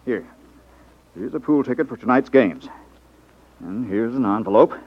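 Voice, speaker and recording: Pompous, impatient radio racket boss. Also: male voice, medium pitch, lively intonation, moderate pace. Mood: exasperated. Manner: brusque, officious, pompous diction. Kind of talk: 1950s radio drama acting. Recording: dull vintage 1950s radio recording.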